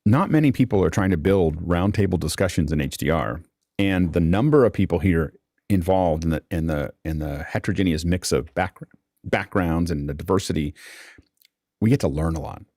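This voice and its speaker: Very deep-voiced man hosting a discussion. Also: a little mellow, no room sound, clean studio sound. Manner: rambling, repeats words as he thinks aloud. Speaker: host leading a live online panel. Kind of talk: casual spoken discussion. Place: remote video call.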